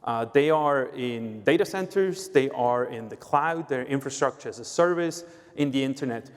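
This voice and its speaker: A man's voice: medium pitch, normal speed, clean tone. Swiss-accented man speaking in a hall. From Switzerland. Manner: explanatory, uses filler "uh" and "right?". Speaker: sales executive, guest speaker. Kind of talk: conference panel talk. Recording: long reverb of a hall.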